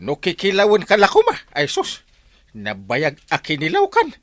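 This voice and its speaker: An actor with an Ilocano accent playing an excitable, exclamatory village captain in a comic radio drama. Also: male voice, sits high, moderate pace, dry recording. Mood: startled, blustering. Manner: exclamatory, blustering, sputtering.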